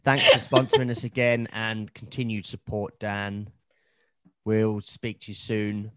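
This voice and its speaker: A man's voice: on the low side, flat delivery, measured pace, a bit bright, no echo. A man co-hosting a casual podcast, swearing freely in blunt banter. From England. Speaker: podcast co-host. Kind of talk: casual chat.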